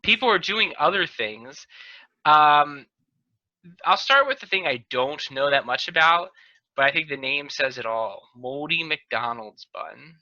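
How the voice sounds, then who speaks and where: Bright, forceful man hosting a comedy podcast. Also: fairly high pitch, lively intonation, unhurried. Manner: teasing, joking. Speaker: podcast host. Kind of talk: casual comedic banter. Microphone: video call. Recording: dull lo-fi video call audio.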